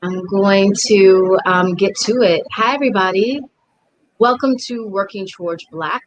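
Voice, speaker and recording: Female host, mid-pitched voice, friendly, lightly scripted intro with fillers. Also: measured pace, dull audio. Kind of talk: online interview hosting.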